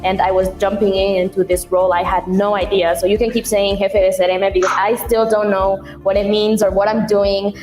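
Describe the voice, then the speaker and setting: A woman's voice: medium pitch, flat delivery, a little fast, hardly any pauses, soft background music. Young woman from ecuador, interview guest, lots of ums, echoey roomy sound. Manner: warm, hesitant, many ums. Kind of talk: remote interview. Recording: roomy echo with long reverb.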